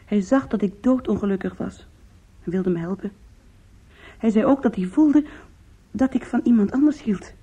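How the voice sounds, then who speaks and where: An actress in a Dutch radio play playing a weary, anguished young woman with a shamed past, confessional, halting and pleading. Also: mid-range voice, warm tone, slightly rough, natural voice. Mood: wistful confessing. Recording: muffled, lo-fi old radio recording.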